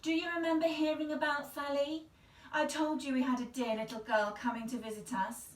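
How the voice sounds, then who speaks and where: A woman's voice: high-pitched, little pitch movement, brisk, reverberant, distant mic, pitched above the performer's own voice, natural voice. A woman voicing a prim, fussy little aunt in a storybook, fretful and fussing.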